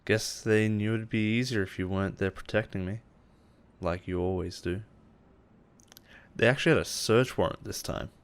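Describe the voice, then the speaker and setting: Guy doing a yandere boss type, lowish voice, coaxing but kinda threatening, obsessive ceo in an audio roleplay. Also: fairly even intonation, normal speed, pauses often, clear, resonant tone, natural voice. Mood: coaxing, friendly. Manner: soft-spoken coaxing, chuckles and sighs, quietly menacing. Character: possessive yandere male CEO boss, smooth and menacing. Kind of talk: intimate roleplay audio drama acting.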